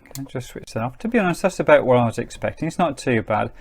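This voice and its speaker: A man's voice: medium pitch, animated, quick pace, a little hiss or hum. A man giving a casual hands-on gadget review, chatty and understated as he talks through what he is doing. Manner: chatty, understated, thinking aloud while working. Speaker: product reviewer.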